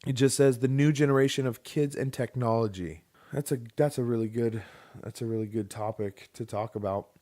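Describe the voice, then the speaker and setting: A man's voice: mid-range voice, fairly even intonation, a little fast, mellow. A man in his thirties hosting a casual podcast, talking in a rambling, frank way with some mild swearing. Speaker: podcast host.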